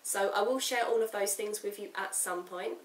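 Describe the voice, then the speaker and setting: Woman, croaky with a cold, casual rambling vlogger; roomy, off-mic sound. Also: medium pitch, fairly even intonation, normal speed, recorded from a distance. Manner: rambling, talks straight to the camera. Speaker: writing vlogger. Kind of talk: casual chatty vlog update. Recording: roomy echo, off-mic, faint hiss.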